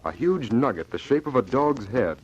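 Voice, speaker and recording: A male announcer delivers dramatic narration in old-time radio style. His voice is mid-pitched, and the old transcription is dull with clearly audible noise. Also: sing-song, normal speed.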